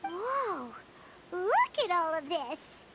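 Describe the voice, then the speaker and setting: Game role: tiny cheerful fairy sidekick, very high, swooping, eager voice. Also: female voice, big pitch swings, very slow, very noisy, heavily acted. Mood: amazed. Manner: exclaiming, eager. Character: small, childlike, cheerful fairy companion, female. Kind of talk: video game voice acting. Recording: loud game music behind.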